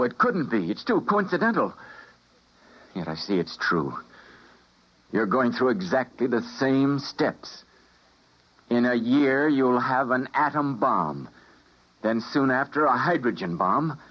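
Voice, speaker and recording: Male scientist, husky, earnest, intense, 1950s radio drama, dull vintage recording. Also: medium pitch, big pitch swings, slow pace, pauses often. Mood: alarmed. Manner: intense, emotional delivery. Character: doomed male time-travelling scientist, earnest. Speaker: physicist and narrator.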